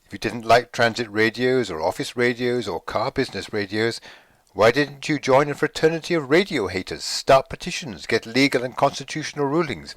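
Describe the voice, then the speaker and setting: A man acting a measured, probing doctor in a radio play, speaking in a formal, reasoning manner. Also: mid-range voice, animated, a bit bright, no echo, close to an everyday voice. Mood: reproving. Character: measured, probing male doctor questioning a patient. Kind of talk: radio play dialogue reading.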